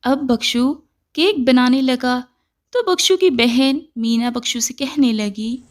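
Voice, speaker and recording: Female storyteller, high register, scripted children's moral tales, measured and instructive.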